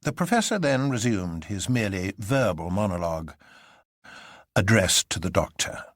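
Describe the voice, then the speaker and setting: A man's voice: on the low side, very animated, moderate pace, no room sound, clean studio sound. A man reading a literary story aloud as a dramatic narrator.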